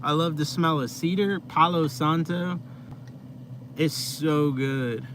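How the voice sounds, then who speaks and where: A man's voice: a little high, takes it slowly. A young man hosting a casual live stream from inside his van, chatting with viewers in a laid-back, rambling way with lots of fillers. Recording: noisy vehicle ambience.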